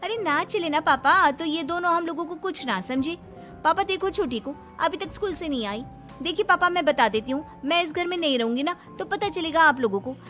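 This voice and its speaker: A woman's voice: very high-pitched, lively intonation, a little fast, pushed and bright, faint music behind, full character voice. A young woman voicing a talkative, bossy but caring teenage big sister who scolds and fusses in a moral story. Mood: bossy, fussing. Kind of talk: acted moral story dialogue.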